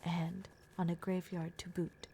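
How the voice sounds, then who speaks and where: A woman's voice: lowish voice, level tone, a little slow, dark tone, slight background noise. A woman voicing a blunt, protective young werewolf girl in an ASMR roleplay, often dropping into a whisper. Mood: exasperated. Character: gruff, protective young werewolf girl, exasperated but caring.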